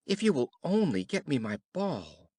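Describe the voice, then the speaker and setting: Guy doing a bratty young princess from a fairy tale, lots of up and down, expressive. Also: on the high side, normal speed, slightly rough, no echo, studio quality, voice pushed up. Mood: eager promising. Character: young princess, spoiled, disdainful, reluctant.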